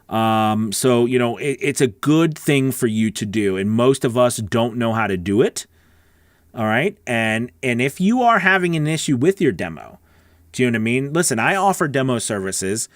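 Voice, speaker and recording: A man's voice: medium pitch, no echo. A middle-aged man giving casual, frank advice as a coach, conversational and often checking in with the listener, on a close studio microphone. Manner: frank, conversational, often checks in with "okay" and "you know what I mean". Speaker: voice-over coach and channel host. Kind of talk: casual advice talk to camera. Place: small home recording studio. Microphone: close broadcast microphone on a boom arm.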